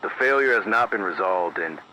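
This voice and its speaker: Deep, bright-edged radio emergency announcer, acted by a man. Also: lively intonation, exaggerated voice. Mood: grave, measured. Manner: measured, formal, deliberate, precise. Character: grave, careful late-night male emergency radio announcer. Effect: AM radio filter. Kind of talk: scripted analog horror emergency news bulletin. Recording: dull band-limited AM radio, faint noise.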